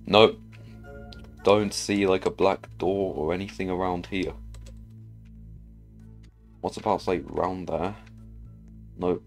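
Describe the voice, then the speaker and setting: A man with a low voice and an unhurried pace hosts a gaming video. He comments casually on his play, muttering questions to himself as he goes. Music plays behind him. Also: steady tone, vocal fry.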